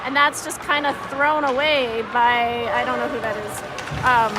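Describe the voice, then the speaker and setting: A woman giving informal, halting live hockey commentary as an unsure, self-deprecating amateur, with a slightly scratchy voice. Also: on the high side, steady tone. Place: indoor ice hockey arena. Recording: music and crowd noise behind, arena. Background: music and crowd.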